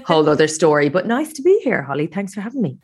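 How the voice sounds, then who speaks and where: A woman's voice: fairly low pitch, sing-song, quick pace, darkish tone, husky. An Irish woman guest in casual chat, talking freely with frequent restarts and laughs. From Ireland.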